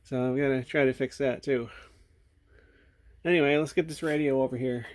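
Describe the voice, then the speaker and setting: A man giving a casual, unscripted hands-on demonstration, thinking aloud in a low-key way as he works at an indoor bench. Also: fairly even intonation, moderate pace, slight background noise. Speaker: hobbyist host showing a radio repair. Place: indoor workbench. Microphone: handheld or tripod camera mic.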